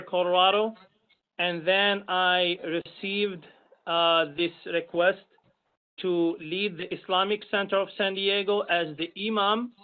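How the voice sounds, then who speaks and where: A man from Algeria whose first language is Arabic, an imam introducing himself at a public meeting in measured speech with many pauses. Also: high voice. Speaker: imam and commission member. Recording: tinny phone-like call audio.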